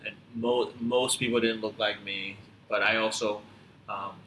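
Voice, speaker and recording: A man from Tanzania who grew up in upstate New York, talking casually and reflectively as a podcast guest, often halting and repeating phrases. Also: on the low side, level tone, bright, forceful tone. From born in Tanzania, grew up in upstate New York. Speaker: podcast guest, physical therapist. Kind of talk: casual conversational storytelling. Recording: roomy echo, clear background noise.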